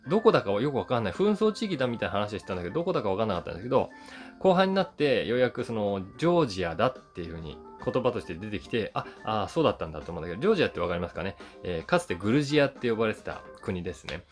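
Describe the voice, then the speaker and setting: Low-voiced man with very lively intonation. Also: rapid-fire. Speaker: YouTube host reviewing films, voice actor. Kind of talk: casual film review monologue to camera. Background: background guitar music.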